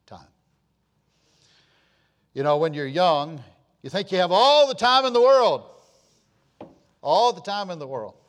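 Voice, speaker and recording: Man, 60s, pastor; unhurried, measured sermon delivery with repeated lines and loud emphatic swells. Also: high voice, big pitch swings. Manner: slow, repetitive preaching with rising emphatic swells.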